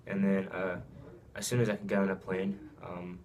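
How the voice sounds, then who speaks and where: A man's voice: low voice, flat delivery, normal speed, a little mellow, slight background noise. A young man, a student athlete from Saipan raised in Japan, answering interview questions casually with many ums and likes. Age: young adult.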